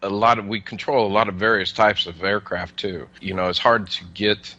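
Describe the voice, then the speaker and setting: A man giving casual, plain-spoken explanations as an interview guest, with somewhat halting delivery. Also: lowish voice, flat delivery, pushed and bright, dry recording, highs cut off. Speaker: air traffic controller, interview guest.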